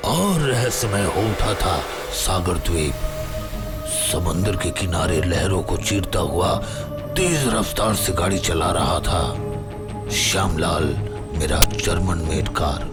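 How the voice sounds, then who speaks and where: Man as an older uncle telling a horror story, really low voice, patient and suspenseful. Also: lively intonation, loud music behind the voice. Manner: measured, suspenseful phrasing. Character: older uncle recounting his ghostly encounter, patient.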